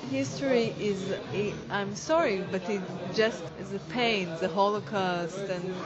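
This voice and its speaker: A woman, a dance teacher, answers interview questions in hesitant, searching English. The audio is dull and lo-fi. A crowd chatters in the background. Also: medium pitch. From Israel. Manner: halting, searching for words in non-native English.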